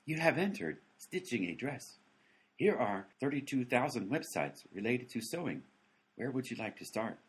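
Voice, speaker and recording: A man voicing a husky glitchy phone assistant. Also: medium pitch, moderate pace. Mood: robotic. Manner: flat scripted prompts, mishears every command. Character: glitchy male talking phone assistant, literal-minded. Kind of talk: comedy radio play acting.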